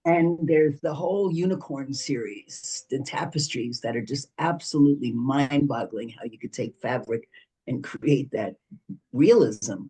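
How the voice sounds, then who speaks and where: A man's voice: mid-range voice, unhurried, dark tone, breathy edge, low-quality recording. A man on an arts panel telling a rambling anecdote, full of "so" and "you know". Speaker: panelist, arts organization president.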